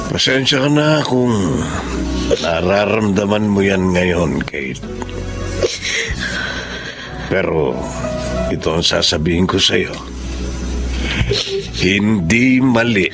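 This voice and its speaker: A man with a Filipino accent playing a patient older father, gentle and measured, in a radio drama. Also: sits low, heavily acted. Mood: reassuring. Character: patient, apologetic retired seaman father. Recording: music under the voice.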